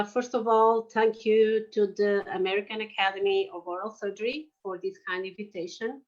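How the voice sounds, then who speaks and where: A woman's voice: medium pitch, muffled recording. A woman from Portugal in her forties with a Portuguese accent, giving a lecture on oral surgery with hesitant phrasing and frequent fillers. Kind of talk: online lecture presentation.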